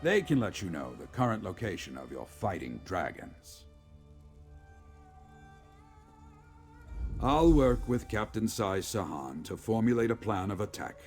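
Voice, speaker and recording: A man playing a remorseful, resolute middle-aged general in a video game, with a gravelly voice and formal, measured military speech. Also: lowish voice, sing-song, darkish tone, faint music behind. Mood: businesslike. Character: remorseful, resolute veteran male general, father of a grown daughter. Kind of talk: scripted video game character dialogue.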